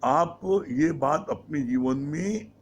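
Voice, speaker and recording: A man delivering a spiritual discourse in a slightly raised voice. He talks at an unhurried, deliberate pace. He poses rhetorical questions and answers them himself. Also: a little high, a little hiss or hum. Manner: slow, measured explaining with rhetorical questions; mixes English words into Hindi. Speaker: satsang speaker. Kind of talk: devotional discourse on scripture.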